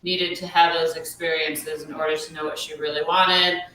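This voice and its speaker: Woman with a low voice chatting on a podcast, bright edge, really echoey and a bit far from the mic. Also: flat delivery, moderate pace, a little hiss or hum. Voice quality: deep voice for a woman. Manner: musing, hesitant, with many ums and likes. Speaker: podcast co-host. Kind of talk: casual recap chat.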